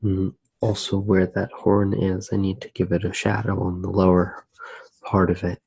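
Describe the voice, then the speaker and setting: A man softly narrating his drawing for ASMR, hushed, unhurried and musing as he works. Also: deep voice, completely flat delivery, vocal fry, breathy edge, dry recording, poor audio.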